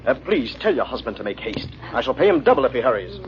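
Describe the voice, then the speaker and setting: An actor playing a cold, greedy, murderous French gentleman in an old-time radio drama, suave and theatrical. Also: medium pitch, very animated, quick pace, natural voice. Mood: smooth, urgent. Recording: muffled, hissy old radio recording.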